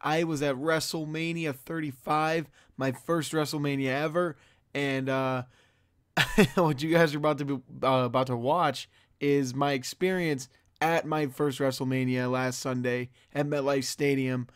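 A hoarse young man talking straight to his viewers in a casual, excited vlog intro, loose and full of fillers. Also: on the high side, a little slow. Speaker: wrestling fan vlogger. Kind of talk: casual direct-to-camera intro.

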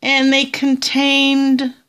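Slow, chatty Southern Ontario woman. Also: sits high, monotone, a bit bright. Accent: Southern Ontario Canadian. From Canada. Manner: chatty, rambling, many fillers and restarts. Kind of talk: casual show-and-tell narration. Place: small indoor tabletop setup.

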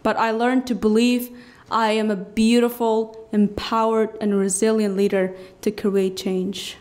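A woman's voice: fairly high pitch, level tone, darkish tone. Earnest young Afghan woman storyteller. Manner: earnest, emphatic, pausing between phrases. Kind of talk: personal story told on stage. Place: cultural centre stage. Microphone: podium mic on stand.

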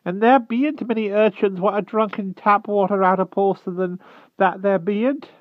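A man doing a squeaky trembling old hedgehog. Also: fairly even intonation, moderate pace, no echo, close to an everyday voice. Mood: pleading. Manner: thick rustic country dialect, quavering and pleading. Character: timid old rustic male hedgehog, humble and trembling. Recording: tinny phone-like dry sound.

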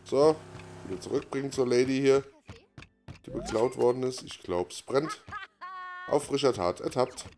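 A man's voice: medium pitch, moderate pace, pauses often, a little mellow. A man doing casual let's play commentary as a gaming host, thinking aloud while he plays. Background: game music and sound effects.

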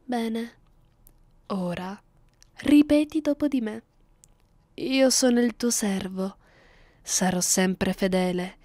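A woman's voice: mid-range voice, takes it slowly, pauses often, warm tone, no echo, close to an everyday voice. A woman playing a cruel, seductive vampire mistress in an ASMR roleplay, speaking commandingly and often close to a whisper. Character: domineering, cruel, seductive vampire mistress addressing her human captive.

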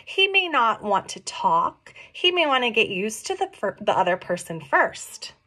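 A middle-aged woman reading a picture book aloud to young children, expressive and questioning, explaining things simply as she goes. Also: fairly high pitch, unhurried, slight background noise. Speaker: read-along storyteller for young children. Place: small room at home.

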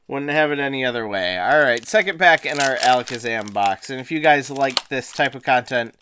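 Slightly hoarse man chatting casually. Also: mid-range voice, expressive, normal speed, background noise. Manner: chatty, restarts phrases, laughs often. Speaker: card-opening channel host. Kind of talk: casual unboxing chat. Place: indoors at a table, hands on camera.

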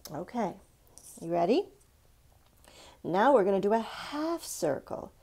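Slow-paced woman teaching children to draw. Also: mid-range voice, big pitch swings, creaky, mellow, breathy edge. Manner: simple, encouraging, instructional, asks the listener questions. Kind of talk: step-by-step art tutorial for young children.

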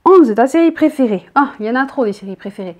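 A woman's voice: high-pitched, animated, fast. A woman in her thirties from the south of France, with a southern French accent, chatting casually as a vlogger and reading out tag questions from her bedroom. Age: 30s. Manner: chatty, rambling, reads each question out loud. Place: bedroom, indoors.

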